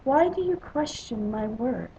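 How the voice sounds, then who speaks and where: A woman's voice: a little high, expressive, a little slow, mellow, dull audio, a little hiss or hum. Regal, protective mother goddess acted by a woman. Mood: firm.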